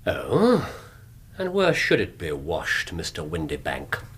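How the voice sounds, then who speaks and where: An actor playing a brilliant, self-assured detective in a radio drama, with a British accent and a precise, dryly superior, theatrical manner. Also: male voice, low voice, huge pitch swings, slow, fairly bright tone, breathy edge, exaggerated voice. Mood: assured, incisive.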